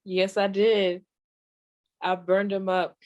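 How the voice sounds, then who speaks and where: A woman playing a sassy old 1940s radio comic, telling stand-up stories in a folksy, deadpan way with drawn-out pauses. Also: mid-range voice, muffled recording, lightly acted. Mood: gleeful, blunt. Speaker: comedian performing a monologue.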